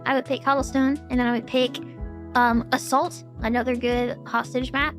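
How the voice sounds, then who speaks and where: Gravelly-voiced woman rambling through Q&A. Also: high voice, flat delivery, normal speed, faint music behind. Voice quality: gravelly, strained. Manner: rambling with many fillers and restarts. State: throat getting sore. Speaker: VTuber. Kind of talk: casual Q&A vlog.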